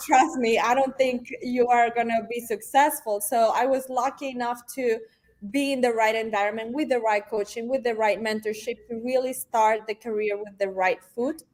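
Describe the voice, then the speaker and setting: Chatty Venezuelan woman, bright and upbeat. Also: a little high, steady tone, normal speed. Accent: Venezuelan Spanish. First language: Spanish. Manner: upbeat, chatty, many fillers like "honestly" and "um". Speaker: guest, realtor. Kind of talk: casual interview conversation.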